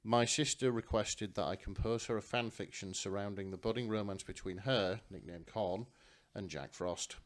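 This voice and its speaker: A man's voice: lowish voice, normal speed, hardly any pauses. A man co-hosting a podcast, talking casually with friends in a wry, dry-humoured way. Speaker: podcast co-host. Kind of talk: casual chat among friends.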